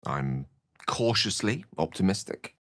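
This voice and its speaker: A man's voice: low-pitched, animated, a little slow, no room sound, clean studio sound. An actor playing a wry, scholarly middle-aged wizard in a fantasy video game, articulate and theatrical in his phrasing. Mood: measured, wry. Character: eloquent, wry, scholarly male wizard adventurer.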